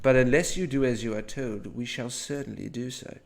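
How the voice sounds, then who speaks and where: A man's voice: mid-range voice, normal speed, creaky, natural voice. A man putting on a British accent to voice a stiff, formal male colonial official with clipped diction. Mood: formal stern. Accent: British (put on).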